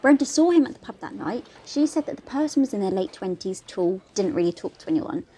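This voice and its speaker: A woman's voice: mid-range voice, lively intonation, a little fast, mellow, slightly rough. A woman playing an earnest police investigation assistant in an audio drama, reporting in a conversational way. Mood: earnest, speculative.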